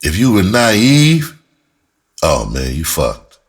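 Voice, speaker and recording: Deep, husky-voiced American man telling stories. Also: wildly swooping pitch, slow pace. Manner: blunt, profane, emphatic, street slang. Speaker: screenwriter telling his own story. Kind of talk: casual first-person storytelling to camera.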